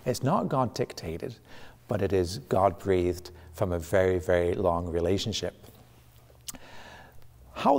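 A middle-aged man, preaching a sermon in a church sanctuary, animated and gesturing, often restarting his phrases. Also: on the low side. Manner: animated, gesturing with both hands, frequent restarts. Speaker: pastor. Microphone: camera at medium distance. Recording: long reverb of a church hall.

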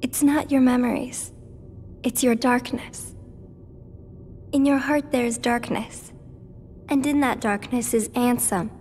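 A woman playing a gentle, solemn teenage girl in a video game cutscene, speaking with measured care. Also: on the high side, steady tone. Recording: reverberant hall, faint background noise.

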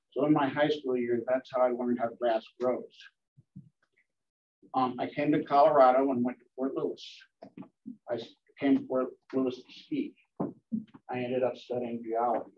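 An older man introducing himself as a board candidate at a meeting, pausing often with ums. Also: mid-range voice. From Connecticut. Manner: hesitant, many ums. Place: meeting room. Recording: dull distant room mic.

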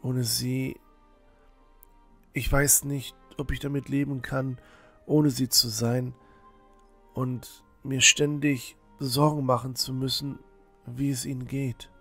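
A man's voice: mid-range voice, little pitch movement, slow pace, long pauses, a bit bright, faint music behind. A man playing an earnest, shy teenage village boy in a fantasy audio drama, hesitant and unsure. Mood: anxious, heartfelt.

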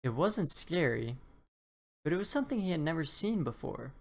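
A woman reading a children's bedtime story aloud in an expressive, deliberate storytelling manner. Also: low-pitched, gravelly.

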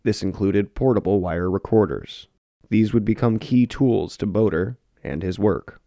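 Low gravelly guy reading a history doc script, dark tone, dry close mic. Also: a little slow, no echo. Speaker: documentary narrator.

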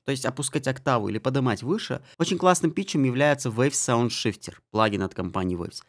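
A man giving a spoken software tutorial as an audio mixing tutor, explaining settings step by step. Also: mid-range voice. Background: music and guitar samples.

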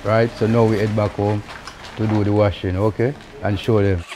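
A man's voice: fairly low pitch, little pitch movement, moderate pace, noisy background. A middle-aged man with a Jamaican accent, casually explaining a task outdoors by a river and saying "you know" a lot. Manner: easygoing, repeats "you know" often. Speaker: vlogger. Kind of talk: casual how-to vlog.

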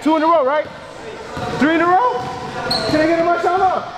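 A man in his thirties coaching kids at basketball, loud and upbeat with lots of encouragement. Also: very high voice, steady tone, brisk. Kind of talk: coaching and a short talk to kids. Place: large indoor gym. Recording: echoey gym with loud noise.

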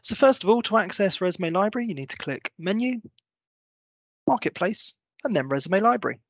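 A man in his thirties presenting a software walkthrough, explaining step by step in a semi-scripted tutorial style. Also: high-pitched, expressive, brisk, no echo, highs cut off. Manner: clear, step-by-step explanatory delivery. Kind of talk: semi-scripted screen-share tutorial narration.

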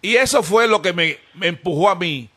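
Bright-voiced Dominican man, a prank caller. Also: high voice, expressive, moderate pace, slight background noise. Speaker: prank call comedian. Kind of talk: teasing phone talk after a prank.

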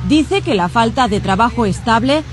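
A woman reporter reading a scripted news report in a measured, formal way. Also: on the high side, animated, noisy background.

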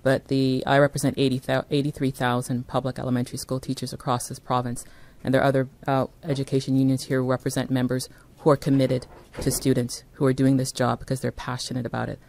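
A woman's voice: very deep voice, little pitch movement, fast, darkish tone, a little hiss or hum. A middle-aged woman, a teachers' union leader, giving an earnest, insistent statement at a press conference. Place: indoor press conference room. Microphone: podium microphones.

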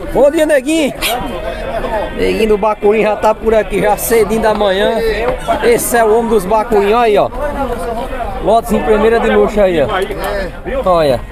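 High-voiced Northeastern Brazilian man, busy crowd. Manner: chatty, folksy, repeats catchphrases. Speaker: vlogger and host. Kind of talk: informal market tour commentary. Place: outdoors at a livestock fair. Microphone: handheld camera or phone. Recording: noisy outdoor livestock market, busy crowd.

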